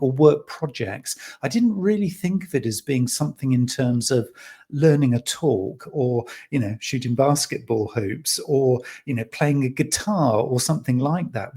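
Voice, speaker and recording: A man's voice: medium pitch, mellow, breathy edge. A man hosting a podcast, enthusiastic and chatty, asking long rambling questions. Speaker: podcast host. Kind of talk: casual interview hosting.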